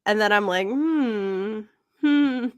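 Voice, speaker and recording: A woman co-hosting a podcast, chatting casually about books in an informal, halting way as she thinks aloud. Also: mid-range voice, clean tone, no echo, studio quality. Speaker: podcast co-host. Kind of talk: casual book discussion chat.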